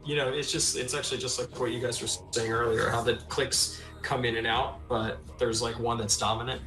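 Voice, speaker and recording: A man's voice: medium pitch, level tone, fairly quick, pushed and bright. A man with a mid-range voice talks casually about his tabletop character's thoughts. The recording is roomy and echoey, with faint music underneath. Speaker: tabletop game player.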